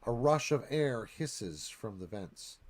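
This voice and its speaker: Male narrator, lively varied pitch, medium register, dramatic scene-setting, screenplay stage directions. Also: slow pace.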